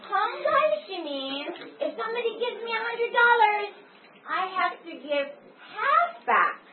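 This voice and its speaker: A woman's voice: extremely high pitch, sing-song, unhurried. A woman teaching a class of children, interpreting a guest's words into English and coaxing the kids to answer in call-and-response. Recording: echoey room, far-off lo-fi phone-like sound with chatter.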